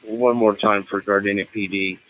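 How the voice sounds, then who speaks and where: A man's voice: fairly low pitch, steady tone, moderate pace, phone-quality sound. An American man acting as net control, running a radio roll call in a formal, procedural manner.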